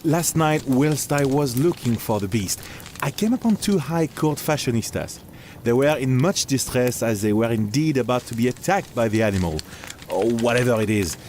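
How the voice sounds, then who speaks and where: A man's voice: mid-range voice, lively intonation, normal speed, darkish tone, slight background noise, natural voice. An actor playing a respectful, plain-spoken male night guard in an audio drama. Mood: earnest. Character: deferential, earnest male night guard, sure of himself.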